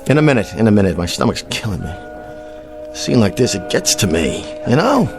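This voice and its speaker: An actor playing a vain, whiny, pampered TV cowboy star, petulant and pompous, in a comedic radio drama. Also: male voice, lowish voice, sing-song, normal speed, over music. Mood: whiny, demanding. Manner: petulant, pompous, self-pitying.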